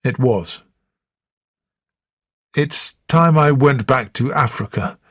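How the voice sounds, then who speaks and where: A reader voicing Blunt, a plain-spoken older man, with a lowered, clipped delivery. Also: slow pace, no echo, studio quality.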